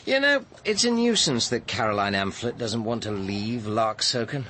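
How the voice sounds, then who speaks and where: A man's voice: mid-range voice, sing-song, moderate pace, sharp tone, a little hiss or hum. An actor playing a self-assured, exacting senior man in a radio drama, dryly superior and articulate, with an English accent. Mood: brisk. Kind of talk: naturalistic radio drama acting.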